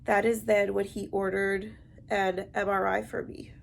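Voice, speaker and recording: A woman with a stuffy, heavily congested nasal voice, talking to camera in a halting, explanatory way in a personal vlog. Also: medium pitch, flat delivery, creaky. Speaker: vlogger. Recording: amateur recording with light background noise.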